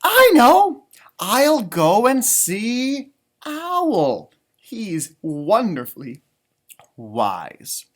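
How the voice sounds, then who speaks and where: A dreamy, discontented rabbit from a children's storybook, played by a man reading aloud. He speaks in slow, drawn-out phrases, raised in pitch and full of dramatic swoops. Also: wildly swooping pitch, exaggerated voice. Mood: hopeful.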